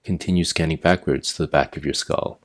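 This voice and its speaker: A man with an extremely low-pitched voice leads a guided meditation. He speaks as an instructor, giving measured, step-by-step directions in a plainly instructional way. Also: steady tone. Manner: measured, imperative step-by-step guidance.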